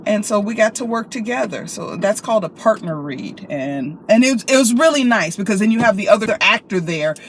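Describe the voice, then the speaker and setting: An American woman chatting casually to camera in an animated, playful, expressive way, like telling stories to friends. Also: medium pitch, fairly quick, hardly any pauses. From Chicago, United States. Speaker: vlogger and actress. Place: inside a car. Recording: clear car and traffic noise.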